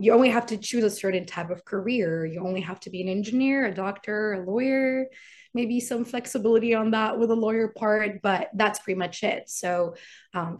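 A young woman originally from Iraq talks as a podcast guest, relaxed and chatty. She laughs often and leans on fillers as she tells her story. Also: mid-range voice. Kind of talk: casual interview chat.

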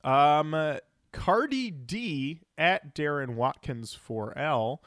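A man's voice: fairly high pitch, animated, slow pace, a bit bright, dry recording, clean studio sound. A man co-hosting a podcast, chatting informally with wry asides and reading out listener comments. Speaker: podcast co-host. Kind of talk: casual podcast chat, reading out listener feedback.

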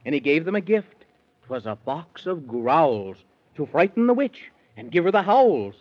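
A man's voice: a little high, big pitch swings, measured pace. A man narrating a 1930s children's radio serial in sing-song rhyming verse. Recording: dull 1930s radio recording.

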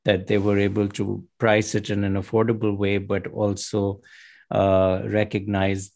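A man answering interview questions as an author and academic, speaking in an articulate, measured way with light laughs. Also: fairly low pitch, completely flat delivery, vocal fry, a little mellow. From United States and Pakistan.

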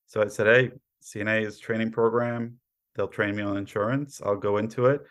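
A man's voice: fairly low pitch, steady tone, fairly quick, fairly bright tone. An American man in his thirties answering interview questions conversationally, in a modest, explanatory way. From Brooklyn, New York, born in Poland. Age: 30s. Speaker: podcast guest, cyber insurance professional.

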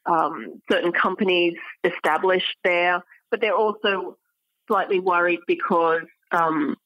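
Woman professor being interviewed, explains stuff articulately w/ pauses, on the lower side, very dry recording. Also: fairly even intonation, no room sound, muffled recording. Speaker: interviewed academic expert, archaeology professor.

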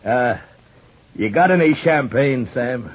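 An actor playing a gruff, protective frontier marshal in a 1950s radio Western, terse and halting, stubborn but tender. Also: male voice, mid-range voice, lively intonation, a little hiss or hum. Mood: commanding. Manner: terse, halting, plain-spoken. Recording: dull, muffled 1950s radio recording.

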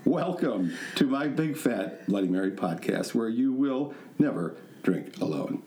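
A man with a mid-pitched voice hosts a podcast casually. He is chatty, joking and self-mocking. The room around him is noticeably echoey. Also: lively intonation, measured pace, mellow. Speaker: podcast host. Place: echoey indoor studio room.

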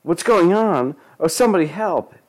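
A man's voice: high-pitched, big pitch swings, a little slow, a little mellow, voice pushed up. A man voicing an anxious, motherly woman in a story, screechy, pleading and distraught. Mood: panicked.

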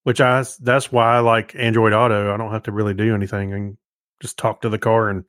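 A man's voice: fairly low pitch, level tone, fairly quick, a bit bright, no room sound, clean studio sound. A man co-hosting a podcast in casual conversation, sharing everyday anecdotes. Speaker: podcast co-host. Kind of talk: casual chat, personal anecdotes.

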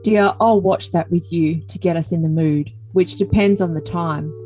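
A woman's voice: lowish voice, moderate pace, warm tone, soft background music, lightly acted. A woman playing a possessive, earnest young boyfriend with stilted endearments in a dramatic comedic reading of text messages. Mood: solemn, possessive.

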